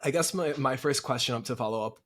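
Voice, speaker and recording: A man's voice: medium pitch, fast, fairly bright tone. A man hosting a podcast, asking long, rambling follow-up questions and encouraging his guest. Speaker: podcast host. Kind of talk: casual interview.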